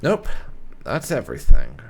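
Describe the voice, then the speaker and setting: A man's voice: mid-range voice, wildly swooping pitch, unhurried, gravelly, darkish tone. A man giving casual gameplay commentary as a video host, laid-back and dryly joking. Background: game music.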